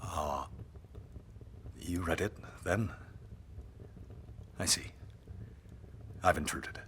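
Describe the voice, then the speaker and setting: An actor playing a courteous, devoted lord in a period romance audio drama, with formal, tender and deliberate delivery. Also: male voice, low voice, a bit bright, slight background noise, full character voice. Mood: apologetic, subdued. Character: ardent, courteous aristocratic lord, regretful, devoted.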